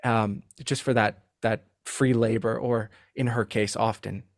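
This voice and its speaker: A man speaking as a podcast guest in casual literary discussion, halting and often repeating words. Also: fairly low pitch, fairly even intonation, dry recording, studio quality.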